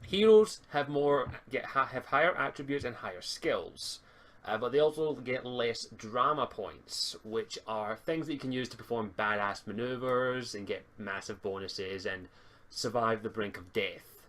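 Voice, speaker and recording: A man's voice: medium pitch, unhurried, fairly bright tone. A man acting as game master, explaining roleplaying rules to players on an online voice call. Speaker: game master and stream host. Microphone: voice call on a live stream.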